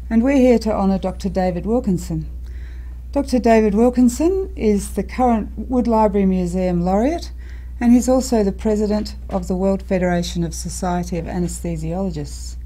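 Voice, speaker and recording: A woman with a warm, mellow, mid-pitched voice. She opens an oral history interview in a scripted way, then asks her guest composed, measured questions. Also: poor audio. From Australia. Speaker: interviewer, host.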